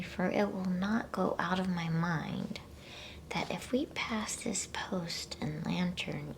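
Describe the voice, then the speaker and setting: Female ASMR storybook reader: hushed breathy whisper, very slow delivery, crackly fry, intimate close mic. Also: fairly low pitch. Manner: hushed, unhurried, with playful asides. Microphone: close microphone.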